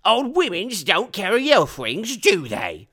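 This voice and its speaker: Squabbling orc grunt, crude bad grammar, high and swooping, bragging and touchy. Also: male voice, huge pitch swings, measured pace, sharp tone, heavily acted. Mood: indignant, mocking. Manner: ungrammatical rustic speech. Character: squabbling male orc soldiers, crude, boastful and indignant.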